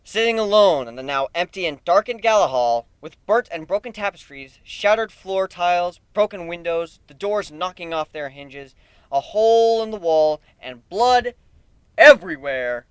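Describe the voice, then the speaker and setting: A man reads a story aloud as a dramatic narrator, with theatrical emphasis. His voice is fairly high and very animated. It sounds crisp and close in a dry space. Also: normal speed, dry recording. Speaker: narrator of fan fiction. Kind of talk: dramatic reading, first-person narration.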